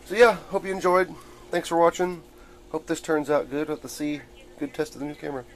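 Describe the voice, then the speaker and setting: A man's voice: on the high side, a little fast. A man giving a casual, rambling show-and-tell of his gadgets, explaining things informally as a hobbyist. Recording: clearly noisy amateur camera audio.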